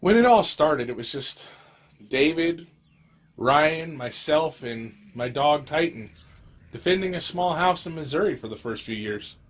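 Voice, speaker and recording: Man acting as lonely apocalypse survivor, higher-pitched voice. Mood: wistful, resigned. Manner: halting, dramatic pauses, repeated words. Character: lonely weary male apocalypse survivor, haunted and grieving. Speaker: amateur voice actor. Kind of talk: scripted first-person audio drama narration.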